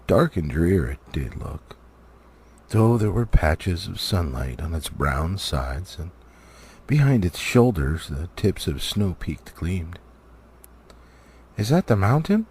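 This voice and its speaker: A man reading a storybook aloud in an expressive narrative style, as an amateur audiobook reader. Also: deep voice, slow pace, slight background noise.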